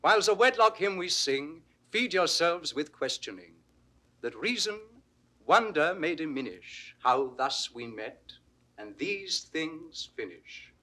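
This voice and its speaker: An actor playing a commanding god of weddings, declaiming rhyming verse grandly in a classical 1960s Shakespearean audio drama. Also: male voice, on the high side, big pitch swings, takes it slowly, sharp tone, exaggerated voice. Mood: solemn, commanding. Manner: declamatory, rhythmic verse delivery.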